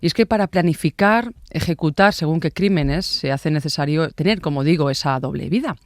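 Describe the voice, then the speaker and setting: Casting: Spanish man, podcast host, slightly high voice, dry studio sound, chatty scripted narration. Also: brisk, no echo. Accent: Spain Spanish. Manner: conversational, with rhetorical asides. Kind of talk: scripted true-crime narration.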